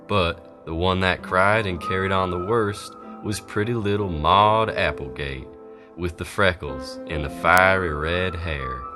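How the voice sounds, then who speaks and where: Deep-voiced folksy storyteller played by a man. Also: a little slow, over music. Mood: folksy, dramatic. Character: folksy Old West storyteller, unhurried male townsman. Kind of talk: scripted narration of a Western folk tale.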